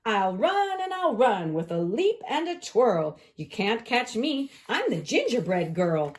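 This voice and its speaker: A woman's voice: on the high side, sing-song, a little slow, darkish tone, pitched above the performer's own voice. A woman voicing a cheeky, boastful little gingerbread girl in singsong storybook rhyme. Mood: taunting. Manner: taunting, singsong.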